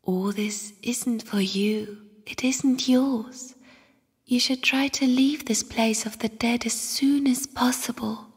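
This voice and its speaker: An actress playing a mysterious, sorrowful young spirit in a video game, speaking in a hushed, solemn, airy whisper. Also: female voice, fairly high pitch, steady tone, breathy edge, heavily acted. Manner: slow, hushed, solemn delivery. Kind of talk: video game character voice acting. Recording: long cavernous reverb.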